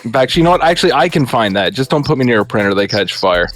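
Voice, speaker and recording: A man's voice: medium pitch, animated, quick pace, crowd noise. A man playing a tabletop role-playing game on a headset, improvising dialogue in a conversational, matter-of-fact way. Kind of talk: casual in-character improvised dialogue. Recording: music playing behind the voice.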